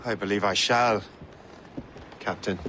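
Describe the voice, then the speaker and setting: Low-voiced, self-assured Irish captain. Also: male voice, fairly even intonation, a little slow, bright, forceful tone, slight background noise. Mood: dry, composed. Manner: plain-spoken, dry, resolute. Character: cool, self-assured young male sea captain turned Templar. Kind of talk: dramatic game cutscene acting.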